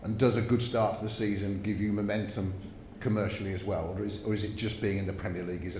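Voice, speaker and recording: A man's voice: fairly low pitch, steady tone, quick pace, reverberant, noisy background. A man hosting a fans' forum, putting questions to the panel in a conversational way. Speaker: host and moderator. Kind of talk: moderating a panel Q&A.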